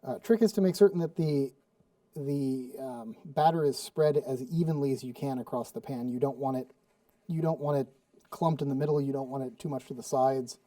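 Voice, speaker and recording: A man's voice: mid-range voice, normal speed, dark tone, no echo, studio quality, far from the mic. Chatty man cooking, heard from afar. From Sweden. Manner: chatty, rambling with many fillers and restarts. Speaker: cooking show host. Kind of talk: casual cooking demonstration. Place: studio kitchen.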